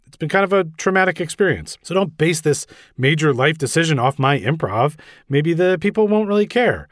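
A man's voice: fairly high pitch, lively intonation, brisk, no room sound, studio quality, voice pushed up, close to an everyday voice. A man voicing a flustered, earnest police commissioner, stammering and rambling anxiously in a comic story reading. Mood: flustered, backpedaling. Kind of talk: comic audiobook-style reading.

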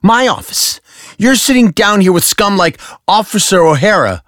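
A man doing a shrill, high-strung prosecutor. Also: expressive, moderate pace, a bit bright, dry recording, studio quality. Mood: heated. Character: high-strung fussy male district attorney.

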